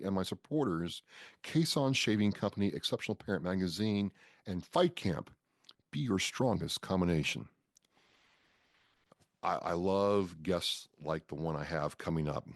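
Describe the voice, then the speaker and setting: Very low-voiced American podcast host, male. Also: expressive, normal speed, dry recording, clean studio sound. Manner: enthusiastic, informal, interjecting. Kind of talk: conversational podcast interviewing.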